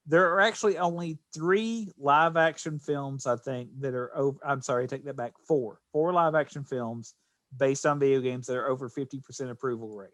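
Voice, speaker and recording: A man's voice: mid-range voice, brisk, a bit bright, no echo. A middle-aged man chatting casually as a podcast co-host.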